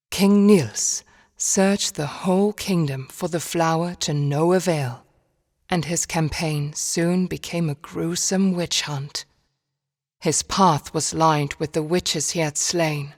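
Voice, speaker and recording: A woman narrating a storybook game in a measured, solemn fairy-tale style. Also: deep voice, darkish tone. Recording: long reverb.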